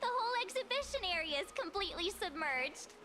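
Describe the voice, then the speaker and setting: Little girl-like game creature, very high pitch, excitable, cheeky, dramatic, over music. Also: brisk, exaggerated voice. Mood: eager, awed. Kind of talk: video game character acting.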